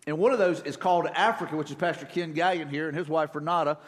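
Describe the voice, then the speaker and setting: A man, a church leader casually introducing a guest speaker from the stage in a relaxed, folksy way. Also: fairly high pitch, fast. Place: church sanctuary. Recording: reverberant church with crowd and noise.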